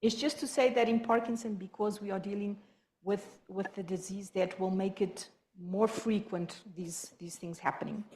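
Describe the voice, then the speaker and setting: A woman health professional, presenting to a support group in a quick, halting way with many restarts. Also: mid-range voice, steady tone, darkish tone. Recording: echoey room.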